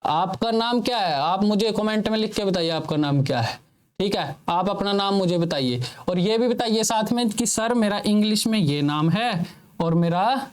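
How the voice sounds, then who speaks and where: A man's voice: high voice, slight background noise. A man teaching a lesson to students in speech, repeating his points and asking them questions that he answers himself. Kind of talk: classroom lecture for students.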